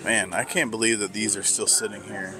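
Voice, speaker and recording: A man vlogging casually as he browses sneaker stores in a mall, talking slowly and musing to himself with lots of fillers. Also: lowish voice, little pitch movement, crowd noise. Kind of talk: casual walk-through commentary.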